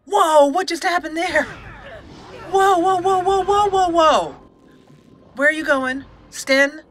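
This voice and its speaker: A woman's voice: extremely high pitch, slow, fairly bright tone, slight background noise. A woman hosting a gaming channel, giving casual, chatty let's play commentary as she talks aloud to the characters.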